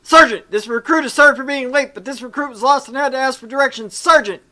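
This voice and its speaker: A young male military recruit acted by a man in a visual novel game. He is apologetic and flustered, his voice raised high and tense as he answers in formal military style. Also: fast, bright, forceful tone, exaggerated voice. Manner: stammering, formal military replies. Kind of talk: visual novel read-aloud.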